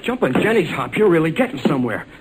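A man's voice: fairly high pitch, big pitch swings, fast talker. A man playing a hot-headed, slangy American sidekick, blustering and blunt, in 1940s radio serial style. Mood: excitable. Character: hot-headed, rough-talking American sidekick, male, excitable and loyal. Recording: noisy old radio drama recording.

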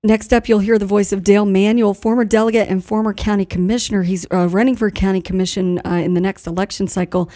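A woman's voice: medium pitch, steady tone, fast, hardly any pauses, dark tone. A woman hosting a radio news recap, introducing clips in a conversational way.

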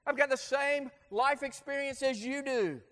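A man's voice: very high voice, normal speed, fairly bright tone, voice pushed up. A man playing an ancient apostle who earnestly pleads with a crowd, repeating himself insistently. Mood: pleading, earnest. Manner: impassioned, insistent repetition. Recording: long hall reverb.

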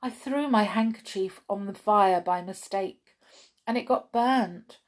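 A woman's voice: medium pitch, a little slow, close to an everyday voice. A woman reading a storybook aloud and voicing a silly, bumbling man who rambles on about his mishap. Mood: flustered.

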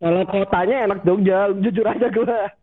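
A man's voice: high voice, normal speed. A young man from Bandung, a podcast guest talking casually and enthusiastically about his student years. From Bandung, Indonesia. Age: young adult. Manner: relaxed, enthusiastic, laughs at himself. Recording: thin phone-like sound.

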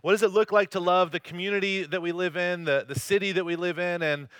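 A man from North Carolina in his thirties, preaching a casual sermon as a campus pastor. He talks in a light, joking way and often pokes fun at himself. His voice is smooth and clear, a touch high and sharply bright, and it is recorded dry. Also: fairly even intonation, quick pace, dry recording. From North Carolina, USA. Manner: joking, self-deprecating, loose storytelling. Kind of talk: conversational sermon with personal stories. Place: church service.

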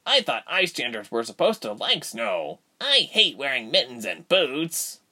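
Casting: young boy, naive and insistent, very bright and swooping voice a man acts it, storybook. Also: mid-range voice, sing-song, a little slow, voice pushed up, heavily acted. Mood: complaining.

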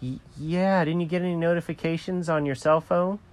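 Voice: medium pitch, fairly even intonation, fairly quick, darkish tone, no echo, voice pushed up, natural voice. A storyteller voicing a courteous, apologetic woman working at an airline check-in counter. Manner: hesitant, courteous. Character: polite, apologetic female airline check-in clerk.

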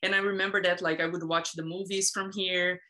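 Woman in her thirties from Brazil, low-pitched, talkative, filler-heavy casual talk. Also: little pitch movement, fairly quick, sharp tone. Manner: chatty, laughs often, many fillers. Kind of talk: casual conversation.

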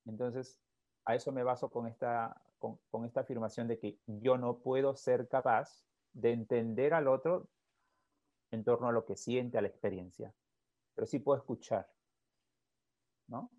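A man, a psychologist, calmly explaining his approach in a reflective podcast talk, often ending thoughts with a questioning tag. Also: medium pitch, moderate pace, dry recording. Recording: dull, lo-fi, dry sound.

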